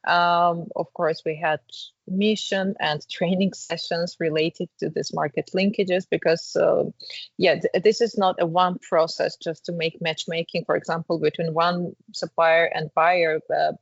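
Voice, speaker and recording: A woman's voice: lowish voice, little pitch movement, measured pace, gravelly, dry recording, dull audio. A woman answering interview questions about a development program, hesitant, with many fillers and restarts. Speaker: program representative.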